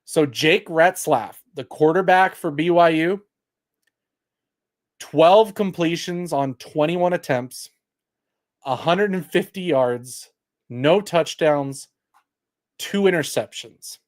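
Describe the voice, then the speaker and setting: A man hosting a post-game sports livestream, with a loud, projecting voice, heated and exasperated as he breaks down stats for his chat. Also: on the high side, slow pace, long pauses. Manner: reads stats aloud to chat. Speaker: sports talk host.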